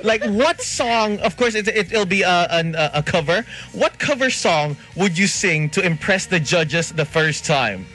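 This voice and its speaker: A man's voice: sits high, lively intonation, unhurried, few pauses, fairly bright tone, soft background music. A man co-hosting a radio interview, reading listener questions in a casual, joking way. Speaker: radio co-host.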